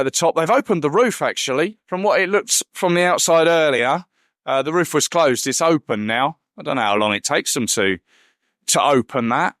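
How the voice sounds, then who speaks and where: A man from England reports on match day from a football stadium, rambling through his thoughts with plenty of ums and restarts. His voice sits at an ordinary middle pitch and comes across dry, with little room sound around it. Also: fairly bright tone, clean tone, dry recording. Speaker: podcast host reporting from a match. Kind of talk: on-the-spot match-day commentary. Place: at a football stadium, outdoors and in the stands.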